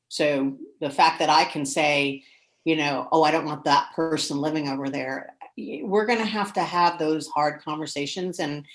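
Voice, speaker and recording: A woman's voice: deep voice, a bit bright. A woman speaking earnestly and frankly as a panelist in an online discussion. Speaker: panelist with planning background. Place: remote video call. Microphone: video call.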